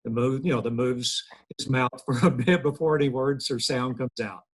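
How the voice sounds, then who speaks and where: A man's voice: medium pitch, steady tone, normal speed, slightly rough. A man reading out stage directions as scripted narration at a table read over video call.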